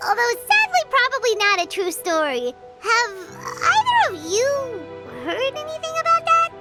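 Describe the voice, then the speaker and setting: A woman voicing a tiny, childlike floating companion in an anime game, chirpy, bossy and excitable, with a squeaky voice. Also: normal speed, full character voice. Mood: excited. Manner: excitable, speaks of self in third person. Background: music.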